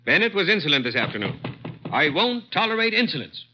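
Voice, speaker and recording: Imperious office boss, highish animated voice, clipped and unforgiving, dull vintage radio. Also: male voice, a little fast, slight background noise. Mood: irritated. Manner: brusque, clipped. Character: curt, imperious, unforgiving male advertising executive boss. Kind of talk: 1940s radio acting.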